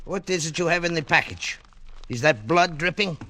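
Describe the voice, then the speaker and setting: An actor playing a fussy, callous trader with a hesitant, offhand manner in an old radio drama. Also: male voice, a little high, lively intonation, moderate pace, sharp tone, a little hiss or hum. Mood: alarmed. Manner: hesitant, offhand, odd detachment. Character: callous, fussy Portuguese trader fretting over cards. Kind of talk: 1940s-50s radio drama acting.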